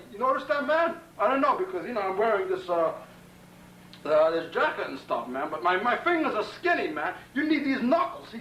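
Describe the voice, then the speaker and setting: Brash, bright-voiced Brooklyn man. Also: sits high. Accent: Brooklyn New York. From Brooklyn, New York. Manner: profane, boastful, menacing bravado, repeats "man" constantly; halting, stuttering restarts. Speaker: home video maker. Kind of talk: rambling camcorder address to a pen pal. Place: bedroom of an apartment. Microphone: camcorder on a tripod. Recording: roomy, distant 1990 camcorder tape with hiss.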